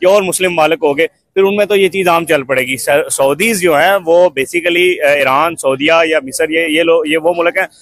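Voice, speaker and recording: A young man with a Pakistani accent answers a street interviewer. His voice sits a little high as he explains his opinion. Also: moderate pace, few pauses, fairly bright tone. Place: busy market clothing stall.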